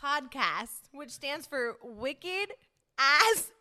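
High-pitched, animated woman host. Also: drawn-out words, heavy background noise. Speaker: podcast co-host. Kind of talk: casual chat.

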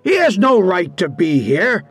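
A man's voice: high voice, sing-song, unhurried, a bit bright, breathy edge, pitched above the performer's own voice, full character voice. A man voicing a stern, paranoid middle-aged schoolmaster in a commanding, indignant manner for a story reading. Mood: alarmed, angry.

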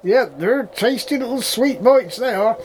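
Casting: male actor as folksy rural English farm manager, old-fashioned and wary, very high-pitched voice, audio drama. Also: unhurried, noisy background. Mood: chatty, firm. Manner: folksy dialect, addresses others as young lad.

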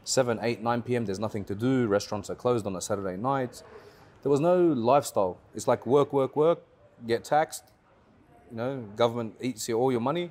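A man, a car rental business owner, telling his story as a guest in a casual interview, animated and repetitive, with slang. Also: medium pitch, brisk. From Australia.

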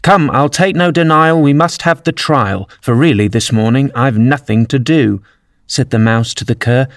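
A man's voice: mid-range voice, no room sound, studio quality, voice pushed up, close to an everyday voice. A man voicing a gloomy, easily offended mouse in a storybook, with a severe, indignant manner. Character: touchy, offended male mouse, gloomy and sharp-tempered.

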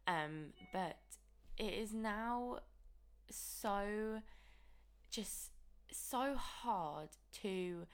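A woman, hosting a casual solo podcast with a croaky early-morning voice. She talks candidly and chattily, with lots of "like" and "okay". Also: mid-range voice, lively intonation, drawn-out words, pauses often. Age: 20s. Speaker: podcast host and coach.

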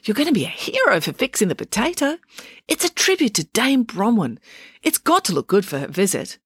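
A woman's voice: a little high, very animated, a little fast, slightly rough, no room sound, clean studio sound, pitched above the performer's own voice. Woman doing a prim, polite young girl. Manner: prim, gently reassuring.

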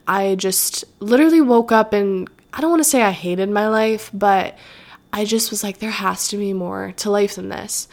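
Young woman rambling on her podcast, kinda nasal from allergies, chatty and reflective, mid pitch. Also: darkish tone. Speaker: podcast host. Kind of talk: casual solo podcast chat.

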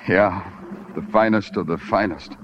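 A man playing a weary, hardboiled police detective in a 1940s noir radio drama, terse, wry and deadpan. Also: deep voice, a little slow, slight background noise. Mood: curt. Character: hardboiled, curt, weary New York police detective narrating. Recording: dull old radio recording, music behind.

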